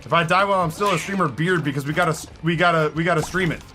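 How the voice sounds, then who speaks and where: A man in his thirties streaming and commenting on a game in a rambling, self-mocking way, his voice hoarse after hours of talking. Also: fairly high pitch, very fast, pushed and bright, faint music behind. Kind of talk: live gameplay commentary. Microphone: headset with headphones.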